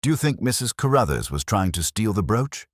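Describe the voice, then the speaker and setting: A man's voice: on the low side, very animated, fairly quick, no room sound, studio quality, pitched above the performer's own voice. A man voicing an eager, curious police sidekick in a mystery story, inquisitive and confiding. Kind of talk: audio mystery story dialogue.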